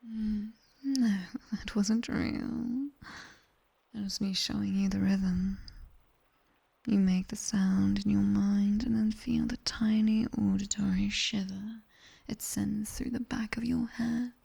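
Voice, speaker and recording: A seductive British demoness tutor, played by a woman, whispers very slowly into a close binaural mic. Her breathy voice has a faint crackle of fry and a soft, dark edge. She instructs in a commanding, teasing ASMR style, like a sharp-tongued teacher of nightmares. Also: mid-range voice, fairly even intonation, full character voice. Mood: teasing, approving. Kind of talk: whispered ASMR roleplay, guided body scan. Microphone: close binaural microphone.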